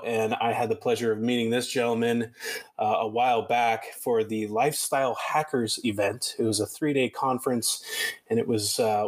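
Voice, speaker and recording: A man hosting a podcast interview in a casual, enthusiastic way. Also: mid-range voice. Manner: informal, enthusiastic, complimentary. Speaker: podcast host.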